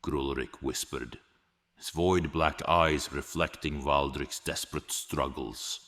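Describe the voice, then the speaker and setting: A man's voice: rumbling low, steady tone, slow pace, a bit bright. A man narrating an epic fantasy story in a dramatic, scripted storytelling style. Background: music.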